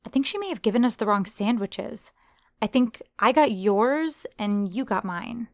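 A young woman with a fairly high voice, recorded dry. She acts a polite, careful guest in an amateur audio drama. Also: expressive, moderate pace, a little mellow, dry recording. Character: polite, cautious young woman visiting a friend.

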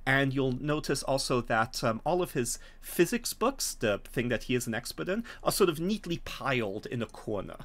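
A middle-aged man explaining and narrating a tabletop game as game master, phrasing things in a hesitant, measured way. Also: mid-range voice, big pitch swings, a bit bright. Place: small home room, video call. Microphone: video call from a desk.

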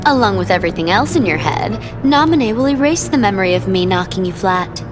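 Young female game villain, played by a woman: sadistic, mocking, lively sing-song taunts over clear music. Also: mid-range voice, close to an everyday voice. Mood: gleefully taunting. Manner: taunting, drawn-out mock sympathy. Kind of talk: video game cutscene acting.

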